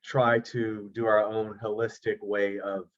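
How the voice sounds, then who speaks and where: A man's voice: fairly low pitch, flat delivery, a little slow. A middle-aged man, an actor and producer, chatting easily as an interview guest over a video call, with self-deprecating jokes. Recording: slightly dull lo-fi audio.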